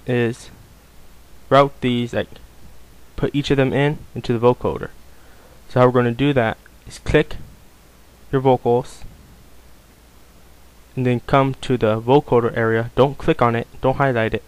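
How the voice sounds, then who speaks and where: A man giving a casual, unscripted software tutorial, explaining things informally step by step. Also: medium pitch, clean tone, low-quality recording. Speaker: tutorial presenter.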